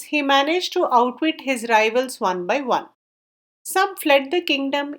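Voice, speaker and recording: High-pitched woman narrating a story.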